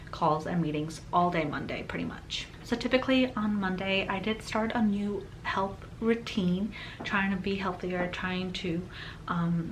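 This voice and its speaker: A woman's voice: on the low side, a little hiss or hum, rough recording. A woman in her thirties talks through her day in a loose, chatty voice-over. She rambles a little and leans on "um" and "like." Her voice is slightly off from a cold she is still getting over. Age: 30s. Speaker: planner vlogger. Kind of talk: casual voice-over narration.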